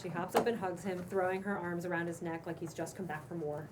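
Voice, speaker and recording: A woman's voice: on the low side, steady tone, fast talker. A woman narrating stage directions in a cold read of a screenplay. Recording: distant microphone with clear room noise.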